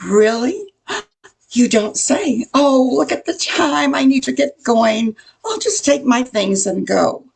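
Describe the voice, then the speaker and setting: A woman's voice: sits high, lively intonation, slow pace, creaky, darkish tone, breathy edge, heavily acted. An older woman playing a nosy, gossipy welcome-wagon neighbor in a radio comedy, chatty and full of insinuation. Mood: flustered. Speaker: amateur radio play performer. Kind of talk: old-time radio comedy acting.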